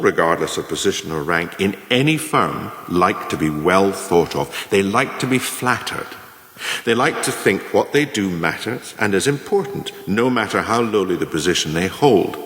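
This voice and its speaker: Radio play lecturer, played by a male actor: low voice, animated intonation, formal and calculating instruction. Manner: measured, didactic, formal, rhetorical. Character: male lecturer coolly teaching scheming career advancement. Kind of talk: scripted radio monologue as a lecture. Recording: echoey room with long reverb.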